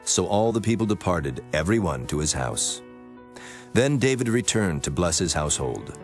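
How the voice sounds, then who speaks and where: Deep-voiced male scripture narrator. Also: sing-song. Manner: solemn, measured. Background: music.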